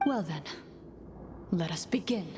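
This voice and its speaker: Composed, formal game master. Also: female voice, lowish voice, animated, a little slow, over music. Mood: solemn. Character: composed, formal female game master hosting a role-play. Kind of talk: measured video game narration.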